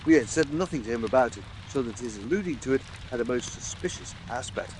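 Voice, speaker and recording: Man acting an officious police detective, mid-pitched. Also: expressive, quick pace, slight background noise, natural voice, low-quality recording. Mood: self-satisfied. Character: self-satisfied, officious male police detective. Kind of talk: dramatic audiobook reading. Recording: faint rain ambience behind.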